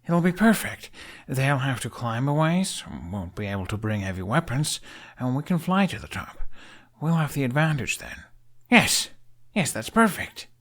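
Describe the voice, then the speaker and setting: Man voicing an eager, high-pitched teenage Viking boy. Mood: excited. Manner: animated, halting, thinking aloud. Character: Hiccup, eager nervy teenage Viking boy, stubborn schemer.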